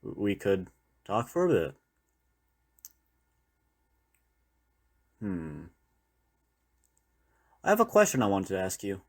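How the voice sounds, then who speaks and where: A weary survivor of the zombie apocalypse, played by a man, speaks in a fairly low voice. He is a loyal partner in an intimate audio roleplay, rambling reflectively as if in private conversation. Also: huge pitch swings, unhurried, full character voice. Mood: restless. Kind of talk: intimate audio roleplay, one-sided dialogue.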